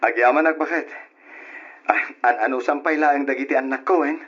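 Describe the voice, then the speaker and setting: Humble working-class father, husky mid-pitched voice, earnest and grateful, Ilocano accent, dull old radio drama audio. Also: brisk, fairly bright tone. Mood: earnest, caring. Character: humble, hardworking father working away in the city.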